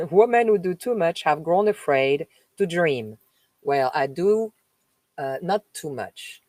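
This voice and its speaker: A woman from France with a French accent, a spiritual host reading a text aloud and stopping for chatty asides, with slow, emphatic delivery. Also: low-pitched, lively intonation, darkish tone, no room sound. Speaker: spiritual live-stream host. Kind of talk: reading aloud with spoken commentary.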